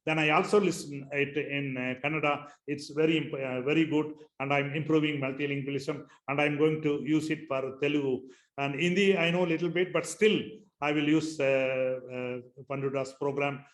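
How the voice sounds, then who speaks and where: A middle-aged man with an Indian accent, a native Tamil speaker, giving a webinar presentation in a halting way with many pauses and fillers. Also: on the high side, fairly even intonation. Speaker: school founder, webinar speaker. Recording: echoey room on a webinar call.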